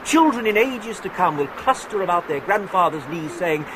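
A suave young upper-class English gent in a radio comedy. He is unflappable and wordy, with grandly flowery phrasing and swooping, animated intonation. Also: high-pitched, normal speed, background noise. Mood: unruffled, mocking. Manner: pompous, flowery, urbane. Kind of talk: 1980s BBC radio comedy drama.

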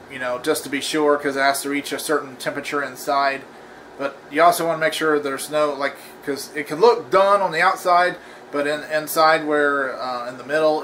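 A man hosting a casual home cooking demo in his kitchen, talking in a relaxed, rambling way with lots of fillers and restarts. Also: on the high side, slight background noise, low-quality recording. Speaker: cooking channel host.

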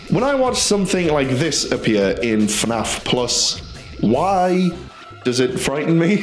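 A man's voice: mid-range voice, roomy sound. A British man hosting a video essay in casual scripted narration, with a chatty, jokey manner. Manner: jokey, chatty, self-interrupting.

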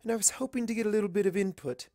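A refined young lady pony from a storybook, put on by a male narrator, speaks in a raised pitch. She is fussy and genteel, coaxing in an affected way. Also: racing, mellow, no room sound, clean studio sound.

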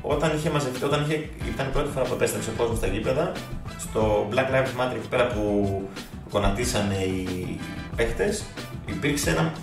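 Gravelly low man, far and echoey. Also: lively intonation. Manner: halting, many restarts and fillers. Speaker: podcast co-host. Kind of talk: casual football chat. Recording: echoey room, far from mic, music bed.

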